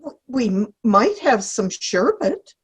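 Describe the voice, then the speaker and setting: A woman playing a prim, forgetful, giggly old lady in a comic play reading, speaking haltingly. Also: fairly high pitch, big pitch swings, slightly rough, heavily acted. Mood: mild, hesitant. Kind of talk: virtual play reading, comedy. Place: video call.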